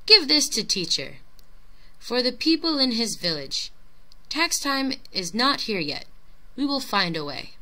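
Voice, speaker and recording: A woman's voice: on the high side, sing-song, a little slow, pushed and bright, voice pushed up, exaggerated voice. A woman voicing a kind, generous village mother in a children's storybook, reassuring and plain-spoken. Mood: gentle, resolved. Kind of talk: children's storybook character reading.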